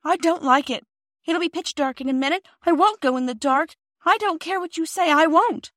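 A woman reading a storybook, voicing a frightened little girl with a high, pleading voice. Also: moderate pace, fairly bright tone, dry recording, clean studio sound. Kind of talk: audiobook character voice.

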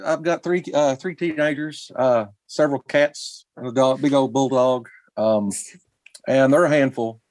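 A man's voice: mid-range voice, darkish tone, dry recording. Hesitant middle-aged male guest, dry close sound, from Kentucky. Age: 40s. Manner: halting, many ums and restarts. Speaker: musician guest. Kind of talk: casual interview chat.

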